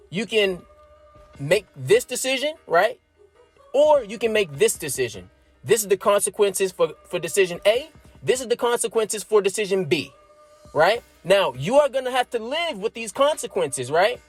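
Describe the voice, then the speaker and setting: A man's voice: high voice, big pitch swings, moderate pace, husky, soft background music. A thirty-year-old man giving his opinion in a casual, emphatic monologue, explaining step by step and checking in with his listeners. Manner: emphatic, explains step by step, keeps asking "right?". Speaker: vlogger.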